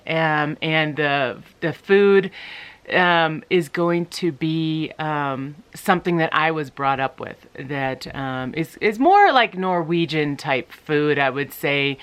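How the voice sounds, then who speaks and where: A woman's voice: deep voice, a bit bright, smooth. A middle-aged woman from the United States chatting casually to her viewers, rambling with many ums and restarts. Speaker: vlogger. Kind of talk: casual talk to camera.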